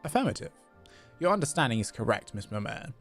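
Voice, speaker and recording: A man voicing a polite, formal, flattering clockwork robot while reading game dialogue aloud. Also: mid-range voice, quick pace, faint music behind. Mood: precise, deferential. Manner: stiff, formal, deferential.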